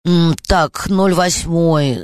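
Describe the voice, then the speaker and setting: A woman's voice: on the low side, drawn-out words, smooth, dry recording, studio quality. A woman with a Moscow accent hosting a radio call-in show, hesitant, with many fillers and stuttered starts. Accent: Moscow Russian.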